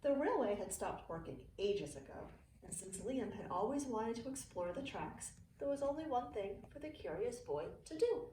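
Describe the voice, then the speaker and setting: Middle-aged female teacher, children's storybook read-aloud, very animated intonation, recorded in a roomy space away from the mic. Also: on the high side, normal speed. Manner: expressive, clearly phrased storytelling for young children. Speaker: early learning teacher reading to students. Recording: roomy, distant mic with faint noise.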